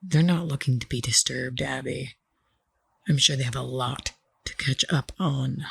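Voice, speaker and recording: An actress in an audio drama playing a cool, passive-aggressive sister with a pointed, sarcastic manner. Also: female voice, rumbling low, a little slow, creaky, fairly bright tone, husky, no room sound, exaggerated voice. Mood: cold, snide.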